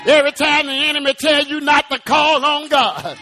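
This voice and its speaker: Male preacher, very high and bright, sung-shouted rhythmic preaching, repeated call-outs to the congregation. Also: fairly even intonation, normal speed, soft background music. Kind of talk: sermon. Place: church.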